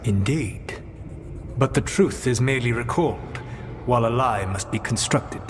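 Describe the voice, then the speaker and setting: An actor playing a grave, calculating ancient elven god who speaks formally and with measured solemnity. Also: male voice, medium pitch, noisy background, lightly acted. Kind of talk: fantasy game dramatic dialogue.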